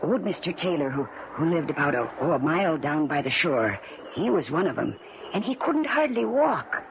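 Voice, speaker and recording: A man voicing a gossipy rustic older woman, a folksy lakeside neighbor who talks haltingly, in old-time radio horror style. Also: a little high, sing-song. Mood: ominous gossip. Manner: halting, folksy, dragging out the news. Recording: noisy, dull old radio recording.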